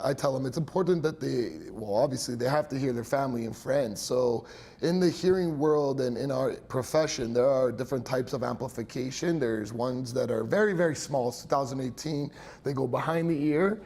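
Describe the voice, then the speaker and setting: A man's voice: mid-range voice, moderate pace, darkish tone, breathy edge. A young man who works as a hearing care professional, explaining his clinic in a chatty way with many fillers and little laughs. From Italian heritage. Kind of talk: interview answers, explanatory.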